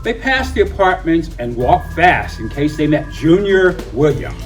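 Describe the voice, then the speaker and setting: Expressive man reading a children's story, distant mic. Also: on the high side, unhurried. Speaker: storybook reader. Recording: distant mic, music behind.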